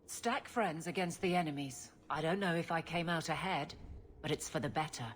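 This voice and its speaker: An actress playing a stern, dutiful captain of the guard with a brisk, no-nonsense manner in a fantasy game. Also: female voice, low-pitched, a little hiss or hum, close to an everyday voice. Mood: resolute.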